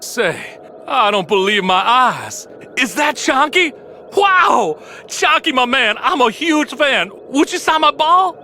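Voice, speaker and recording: An actor playing an excitable, jumpy pirate-radio DJ who talks in a showy, theatrical announcer style. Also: male voice, very high voice, slow, a little hiss or hum, heavily acted. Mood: starstruck. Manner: animated, chatty, showy. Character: excitable, jumpy male pirate-radio DJ living alone on a moon base. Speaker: radio DJ and narrator.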